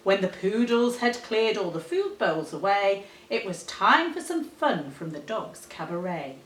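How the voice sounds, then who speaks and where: Animated woman reading a children's storybook, echoey room. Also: mid-range voice. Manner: animated, dramatic, deliberate storytelling. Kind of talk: expressive read-aloud of rhyming children's story. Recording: roomy, echoey, distant microphone.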